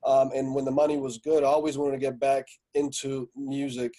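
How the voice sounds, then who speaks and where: A man from Slovakia in his forties, a guest on a podcast, telling his story in a relaxed, rambling way with many fillers. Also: mid-range voice, brisk. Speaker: guest, music entrepreneur. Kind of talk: casual podcast interview.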